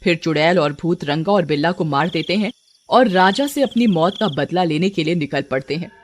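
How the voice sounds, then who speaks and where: A woman's voice: on the low side, normal speed, a little hiss or hum. A woman narrating a dramatic scripted story. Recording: music under the voice. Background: background music.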